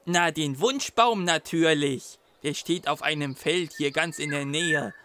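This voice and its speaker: A man's voice: fairly high pitch, a little slow, bright, forceful tone. A man voicing an eager young boy who is a wizard's apprentice in a children's audio drama, patiently explaining things. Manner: helpful, explaining.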